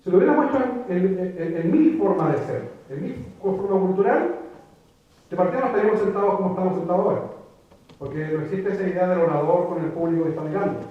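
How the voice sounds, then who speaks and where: A man with a high voice gives a reflective talk to an audience, circling back and repeating words as he gathers his thoughts. He sounds far from the mic, and the room echoes heavily through a thin, phone-like recording. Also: expressive, quick pace. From Chile. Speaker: writer giving a public talk. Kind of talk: conversational lecture. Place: lecture room before an audience.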